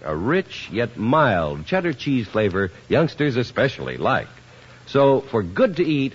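A man's voice: mid-range voice, husky. A man working as a commercial announcer, reading scripted 1950s radio advertising with emphatic, folksy salesmanship. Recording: muffled 1950s radio recording.

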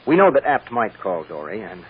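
Shrewd blunt radio drama lawyer, really animated pitch, muffled old noisy recording. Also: male voice, fairly quick, husky, exaggerated voice. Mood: stern, blunt. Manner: firm, persuasive, emphatic. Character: shrewd, blunt male criminal defense lawyer. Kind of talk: 1940s-50s radio drama acting.

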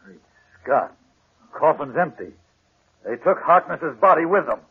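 A man's voice: on the high side, animated, unhurried, a crowd in the background. An actor playing a blunt, impatient, exasperated American policeman in a 1940s radio mystery, slangy and agitated. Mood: alarmed. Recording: muffled, band-limited 1940s radio recording.

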